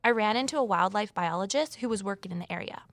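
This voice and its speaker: A woman's voice: mid-range voice, fast, a bit bright, a little hiss or hum, lightly acted. An actress playing a determined, curious woman investigator in a scripted mystery audio drama, with a wry, conversational manner. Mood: earnest. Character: determined, curious ex-journalist woman, defiant amateur sleuth. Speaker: narrator and lead character.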